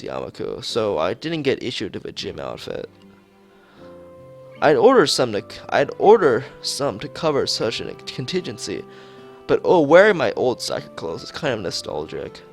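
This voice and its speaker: A man streaming a visual novel, reading its script aloud haltingly, stumbling and repeating phrases, with casual asides. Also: on the low side, creaky.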